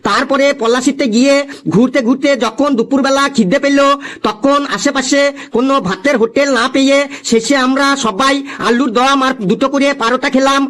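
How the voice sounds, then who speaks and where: A man's voice: very high voice, a bit bright. A man voicing a cheeky, boastful schoolboy in a comic cartoon, reciting with singsong eagerness.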